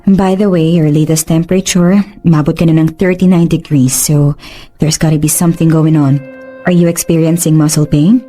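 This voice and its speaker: A low, mellow female voice plays as a woman plays a composed doctor questioning a patient. She is polite and reassuring, switching easily between English and Tagalog. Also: fairly even intonation, normal speed, soft background music. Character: calm, professional female doctor.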